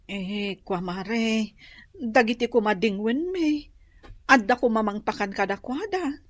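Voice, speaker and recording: An actress with an Ilocano accent playing a blunt, worn wife and carer in a radio drama. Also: female voice, fairly high pitch, a little slow, no echo. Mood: grateful. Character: blunt, worn wife minding her hospitalized husband.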